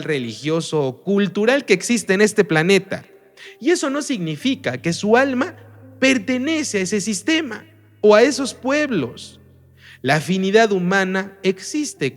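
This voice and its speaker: A man from Mexico, teaching an online religious lesson in an emphatic way, full of rhetorical questions. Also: a little high.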